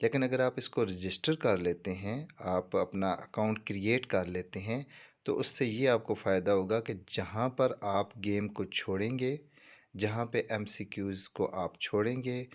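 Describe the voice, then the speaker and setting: A man with a Pakistani accent giving an informal tutorial walkthrough, explaining things step by step and often checking that the listener follows. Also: fairly low pitch. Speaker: tutorial presenter.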